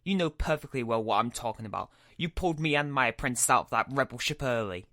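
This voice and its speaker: A man playing a menacing, irritated dark lord in a roleplay series, curt, scornful and imperious. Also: medium pitch, lively intonation, quick pace, no echo. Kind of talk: Minecraft roleplay web series voice acting.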